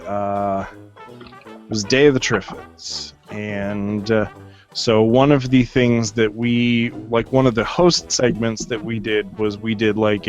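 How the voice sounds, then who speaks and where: A man's voice: fairly low pitch, muffled recording. A man hosting a casual movie chat, telling a long story in a rambling way and leaning heavily on "like.". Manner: rambling storyteller, many "like" fillers. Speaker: host.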